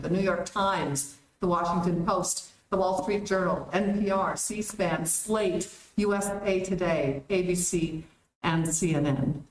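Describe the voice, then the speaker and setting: A woman organizer making announcements and introducing a guest speaker, informal with frequent ums. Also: fairly low pitch. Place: community meeting hall. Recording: roomy hall.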